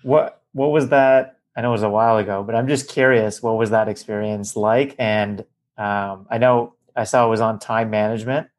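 A man hosting a podcast, asking questions in a relaxed, hesitant way with fillers. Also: lowish voice, moderate pace. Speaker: podcast host. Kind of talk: casual interview chat.

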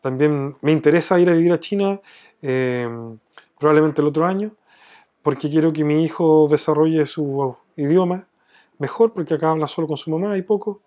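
A man's voice: a little high, moderate pace, dark tone. A man from Chile with a Chilean accent, explaining things from his own experience in a conversational interview. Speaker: business owner being interviewed.

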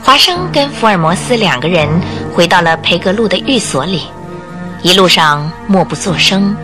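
A woman's voice: fairly low pitch, expressive, moderate pace. A woman reading scripted narration for a radio drama, as its storyteller. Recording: music behind the voice. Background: background music.

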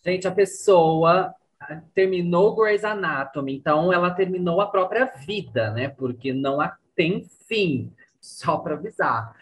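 A woman's voice: lowish voice, a little slow. A woman hosting a show, presenting casually and talking directly to listeners. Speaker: show host.

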